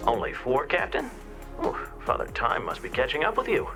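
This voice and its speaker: An actor playing a mischievous, flamboyant rebel senator in a video game, speaking in a theatrical, teasing way. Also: male voice, a little high, wildly swooping pitch, normal speed, music in the background. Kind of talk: scripted video game dialogue.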